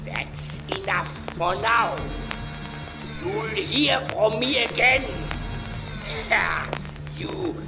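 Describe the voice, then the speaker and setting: A theatrical, gloating villainess doctor in a motion comic. She draws her menace out extremely slowly with swooping pitch. The voice echoes over clear music. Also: expressive, exaggerated voice. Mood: gloating, menacing. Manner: drawn-out, menacing, cackling. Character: gloating, theatrical female mad-doctor supervillain.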